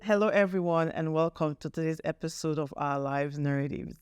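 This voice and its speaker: A woman's voice: low-pitched, a little fast, a little mellow, smooth, dry recording, clean studio sound, soft background music. A woman hosting an interview podcast, introducing and addressing people in an enthusiastic, effusive way. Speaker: podcast host.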